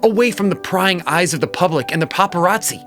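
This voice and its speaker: A man's voice: high-pitched, lively intonation, fairly quick, fairly bright tone, soft background music. A man playing a manipulative cheating businessman fiancé, reassuring and scheming, in a romance audio drama. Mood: reassuring, resolute.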